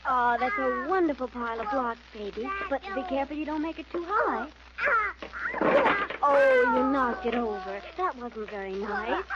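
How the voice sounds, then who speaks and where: A weary nursemaid wife in a 1940s radio drama. Her voice is high, pleading and reproachful. The recording is dull and very noisy. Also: animated, normal speed. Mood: tender, chiding. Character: weary nursemaid wife, tender with baby, resentful.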